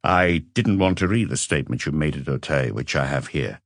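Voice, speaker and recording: A man voicing a calm, probing police detective who questions blandly and with measured delivery in an audiobook. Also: extremely low pitch, no room sound, clean studio sound, voice pushed down, exaggerated voice.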